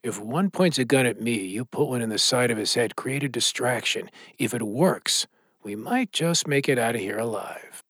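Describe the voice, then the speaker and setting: An actor playing a streetwise, commanding gambler in trouble, giving clipped orders in a noir audio drama. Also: male voice, medium pitch, animated, moderate pace, fairly bright tone, slightly rough, dry recording, clean studio sound. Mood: guarded, instructing.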